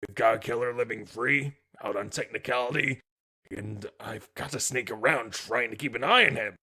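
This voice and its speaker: An actor playing a gruff, cynical, hot-tempered male police detective in a comic dub, raspy, blunt and grumbling. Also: medium pitch, moderate pace, pushed and bright, full character voice. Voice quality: gravelly, raspy.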